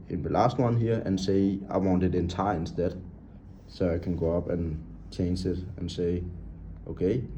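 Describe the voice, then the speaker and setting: A man walks through an app on screen in an unscripted way, halting and talkative as he points out each item. His English has loose, non-native grammar. His voice sits low and sounds very dark and mellow. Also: lo-fi sound, slight background noise. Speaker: app tutorial presenter.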